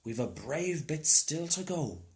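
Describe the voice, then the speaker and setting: Man playing a plucky little boy, very animated pitch. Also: measured pace. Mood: determined. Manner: earnest, folksy phrasing. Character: small plucky boy, proud and determined.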